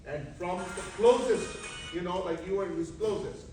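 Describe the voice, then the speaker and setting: A man's voice: high-pitched, normal speed, over music. A man acting as emcee at a community meet and greet, introducing speakers politely with many fillers. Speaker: event emcee. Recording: echoey hall from far off, loud chatter.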